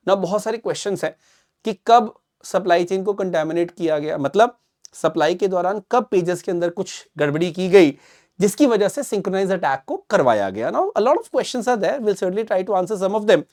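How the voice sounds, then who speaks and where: A man's voice: sits high, animated, moderate pace, darkish tone. Emphatic Indian man explaining the news. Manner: mixes Hindi with English phrases, emphatic, didactic. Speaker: current affairs educator and presenter. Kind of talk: explanatory news commentary for students.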